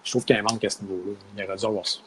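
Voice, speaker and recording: A man's voice: fairly low pitch, fairly even intonation, rapid-fire, noisy background. A man with a Québécois French accent, co-hosting a casual discussion, hesitant and searching for words.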